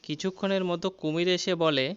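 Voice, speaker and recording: A narrator reads a scripted folktale for children. The voice is smooth and crisp, at a medium pitch. It serves as the storyteller of a cartoon. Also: steady tone, brisk, muffled recording.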